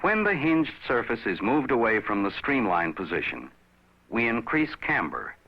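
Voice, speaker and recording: A man narrates a scripted educational film in a measured, instructive manner, addressing the viewer directly. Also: mid-range voice, big pitch swings. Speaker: narrator of a pilot training film. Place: recorded voice-over. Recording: dull, aged film soundtrack.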